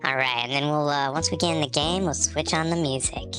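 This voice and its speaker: A woman's voice: low-pitched, fairly even intonation, fairly quick, over music. A woman streaming a game who chats casually, laughs often and greets viewers by name, her voice stuffy from allergies. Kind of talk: casual live-stream chat.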